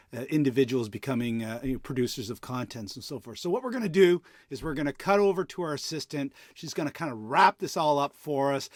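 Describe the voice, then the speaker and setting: A man's voice: medium pitch, no room sound, clean studio sound. A man hosting a show with casual talk, loosely phrased and somewhat hesitant. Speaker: show host.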